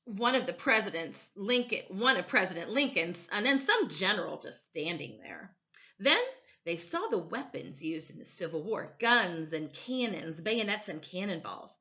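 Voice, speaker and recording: Bright, animated woman reading a children's story. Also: mid-range voice, normal speed, highs cut off. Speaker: storytime reader, teacher.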